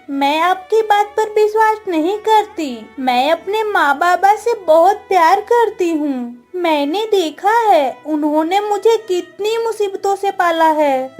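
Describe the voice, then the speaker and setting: A woman voices a young cartoon princess, loyal and earnest. She speaks slowly in an extremely high, girlish pitch over light music. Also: a little mellow, exaggerated voice. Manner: earnest, pleading. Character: young princess girl, loving and stubbornly loyal. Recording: music under the voice.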